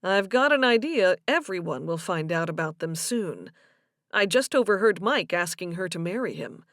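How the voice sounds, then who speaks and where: A woman voicing an earnest, gentle man in love in audiobook dialogue, with a sincere, measured delivery. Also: lowish voice, animated, no echo, clean studio sound. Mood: confiding.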